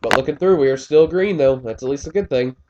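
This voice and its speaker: A man calls a race in a casual play-by-play, his voice a little scratchy and pitched in the middle range. He rambles, drops fillers and corrects himself as he goes, recorded on a muffled, lo-fi home mic. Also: quick pace. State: slightly scratchy voice. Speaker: race commentator. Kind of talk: play-by-play commentary of a simulated race.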